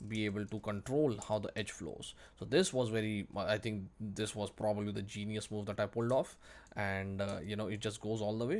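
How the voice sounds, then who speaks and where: A man's voice: fairly low pitch, vocal fry. A man giving an unscripted tutorial as an instructor, explaining conversationally and repeating words for emphasis. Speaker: 3D modelling instructor, video host. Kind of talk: unscripted software tutorial narration.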